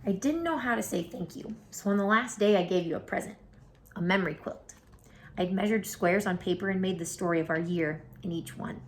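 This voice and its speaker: A woman reading a children's picture book aloud as an expressive storyteller. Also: medium pitch, brisk, slight background noise.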